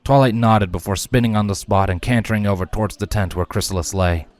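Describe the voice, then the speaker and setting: Low-pitched man narrating a story. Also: fast talker, a little mellow. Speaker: fan fiction narrator.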